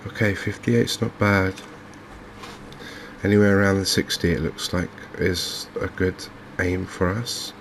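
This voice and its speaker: A man with an English accent giving softly spoken, unhurried ASMR game commentary, musing gently and hesitantly as he goes. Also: sits low, fairly even intonation, vocal fry. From England. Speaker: gaming video host. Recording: faint background noise from open windows and fans.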